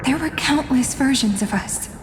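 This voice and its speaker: An actress voicing a sorrowful young heroine in a game cutscene, soft and reflective. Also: female voice, high voice, moderate pace, big-room sound, background noise, close to an everyday voice. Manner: soft, mournful, reflective delivery with sighs.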